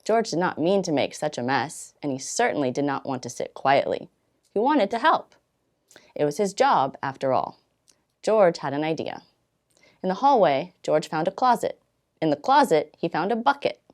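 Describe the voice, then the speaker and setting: A woman volunteer reading a children's storybook aloud, expressively, with dramatic pauses and drawn-out words. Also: low voice, very animated, a little mellow.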